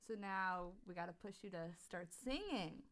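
A woman's voice: fairly low pitch, animated, moderate pace, fairly bright tone. Easygoing woman asking questions. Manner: easygoing, asks questions, frequent short agreements. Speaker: podcast co-host, interviewer. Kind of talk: casual podcast chat.

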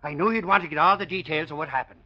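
An actor playing a good-natured, gullible Irish sidekick in an old radio drama, with a blustery, emphatic delivery. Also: male voice, a little high, racing, lightly acted. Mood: chatty, eager. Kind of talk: 1940s radio drama acting. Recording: dull, band-limited old radio recording.

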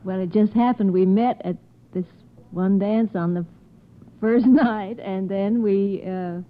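A woman's voice: mid-range voice, takes it slowly. A woman guest on a radio show, casually recalling things in plain conversation. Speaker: mother of a contestant, guest. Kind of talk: casual recollection. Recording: tinny old radio recording.

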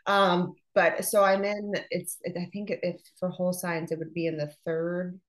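A woman's voice: on the low side, level tone, measured pace. A woman podcast host chatting casually and frankly, laughing often and swearing now and then.

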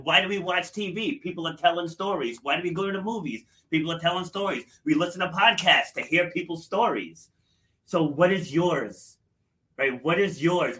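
A male podcast host with a very bright, forceful voice and a slightly high pitch. He presses his points hard and repeats key phrases to drive them home. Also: poor audio. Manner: emphatic, repeats phrases for effect. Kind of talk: panel discussion wrap-up.

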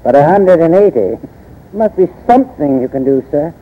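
An actor playing a blunt, grumbling American merchant captain, full of indignation, in 1940s radio style. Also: male voice, fairly high pitch, lively intonation, normal speed. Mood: indignant. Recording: muffled old radio recording.

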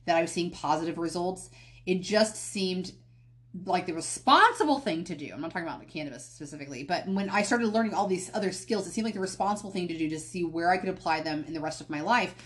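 A woman with a fairly low voice hosts a solo podcast, speaking in a candid, loose way. She rambles and goes off on small tangents. She often catches herself and restarts a phrase. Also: expressive, fast talker. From United States. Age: adult, past college. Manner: candid, rambling, self-correcting. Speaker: podcast host. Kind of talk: casual solo podcast talk.

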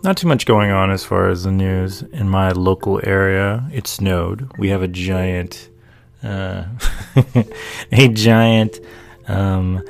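A gravelly man from North Carolina talks casually about his painting and his day. His voice sits fairly low and rambles along with easy amusement, with soft music far in the background. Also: slow pace. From North Carolina, USA. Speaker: painter and video maker. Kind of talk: casual talking-head chat.

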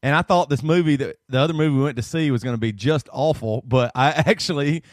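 A man's voice: mid-range voice, fast talker, dry recording, studio quality. A man in his late thirties with a Southern American accent, co-hosting a podcast and telling rambling stories with frequent laughter. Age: 30s. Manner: rambling storyteller, laughs often. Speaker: podcast co-host. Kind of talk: casual chat.

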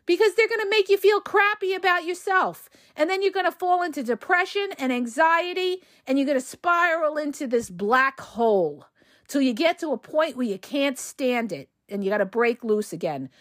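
A woman relationship coach, hoarse from a cold, giving direct, emphatic advice in a casual podcast monologue and often checking in with the listener. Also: sits high, lively intonation, normal speed, a bit bright, dry recording, clean studio sound.

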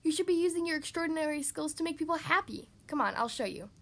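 A woman voicing a plucky, friendly male children's storybook character in an earnest, expressive way. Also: high-pitched, fast. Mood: firm, encouraging.

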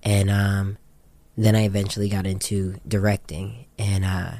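American man, low-pitched, dry close sound, casual slangy interview storytelling, candid director. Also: flat delivery, measured pace, dark tone, dry recording. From Japan. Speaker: interviewed music video director and photographer.

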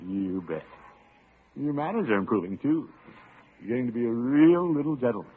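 Tinny old radio sitcom, pompous doting uncle, middle-aged, very animated delivery, blustery, easily flustered. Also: male voice, medium pitch, moderate pace, husky. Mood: proud, fond. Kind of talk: 1940s-50s radio sitcom acting.

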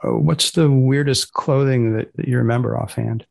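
An American man hosting a podcast, announcing and asking questions in a measured style. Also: mid-range voice, fairly even intonation, vocal fry, a little mellow. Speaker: podcast host, magazine editor.

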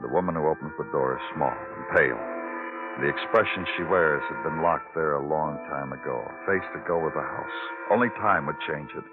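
An actor playing a terse, brooding police detective in an old-time radio crime drama, speaking and narrating in clipped, poetic phrases. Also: male voice, very deep voice, fairly quick. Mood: brooding narration. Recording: muffled, tinny old radio recording with music.

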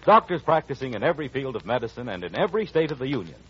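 A man's voice: medium pitch, sing-song, racing, breathy edge. A man announcing formally and emphatically in polished 1940s radio style. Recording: muffled 1940s radio broadcast. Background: music.

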